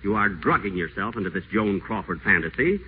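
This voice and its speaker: A man's voice: fairly low pitch, expressive, fairly quick, natural voice. An actor playing an acerbic, scheming, pompous man in a wheelchair in a 1940s radio play, with a sardonic, theatrical delivery. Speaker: radio celebrity. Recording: tinny old radio broadcast, faint hiss.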